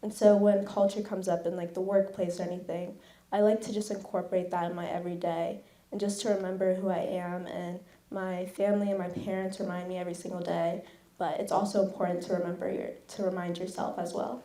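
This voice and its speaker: A young woman speaking as a student panelist in an earnest, steady, reflective way. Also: fairly low pitch, brisk. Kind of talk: panel discussion. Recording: echoey conference room.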